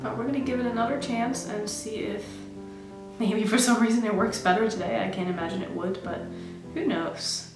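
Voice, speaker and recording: A woman's voice: mid-range voice, fairly even intonation, normal speed, roomy sound, over music. A woman who grew up in Norway, chatting casually while she does her makeup for a beauty vlog. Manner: chatty, self-narrating while applying makeup. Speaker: beauty vlogger.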